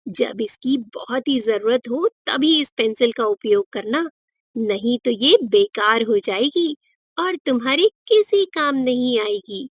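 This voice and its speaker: A motherly magical fairy from a cartoon fable, voiced by a woman narrator, fairly high in pitch. She advises gently and slowly, in a dry recording. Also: no echo. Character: kindly magical river fairy, motherly and instructive. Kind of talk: moral-story cartoon dialogue.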